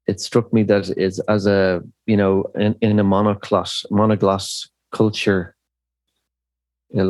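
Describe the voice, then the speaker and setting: Middle-aged irish guy, really low voice, thinks out loud with lots of ums, dry close mic. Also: no echo. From Ireland. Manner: thoughtful, halting, many fillers and restarts. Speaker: musician guest. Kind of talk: reflective podcast interview.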